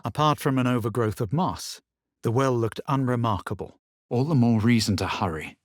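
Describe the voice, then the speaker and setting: A man playing a proud, haughty adventurer in a fantasy video game, speaking in a measured, dramatic, superior way. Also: medium pitch, no room sound, shouting, studio quality, lightly acted. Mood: wary, superior. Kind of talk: fantasy video game voice lines, narration and incantations.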